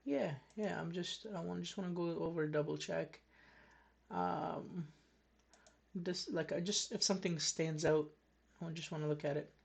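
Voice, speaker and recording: A woman's voice: lowish voice, fairly even intonation, moderate pace, gravelly, darkish tone, husky. A woman from Canada coaching a trader one on one over a video call, chatty and frank. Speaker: trading coach.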